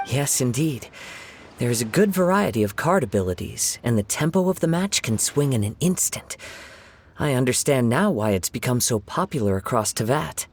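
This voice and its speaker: A man playing a gentle, poetic young swordsman in a video game, speaking in a courteous, measured, formal way. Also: medium pitch, lively intonation, close to an everyday voice. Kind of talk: video game character acting. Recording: music behind.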